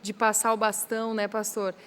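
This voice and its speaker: A woman with a gaúcho accent from southern Brazil, hosting a podcast interview in a lively, chatty way. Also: medium pitch, normal speed, a little hiss or hum. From Rio Grande do Sul, Brazil. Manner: lively, chatty, warmly admiring of the guest. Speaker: podcast host.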